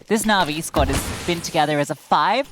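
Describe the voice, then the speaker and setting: A woman's voice: sits low, moderate pace, noisy background. A woman giving chatty, analytical color commentary on a live esports match. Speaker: esports caster.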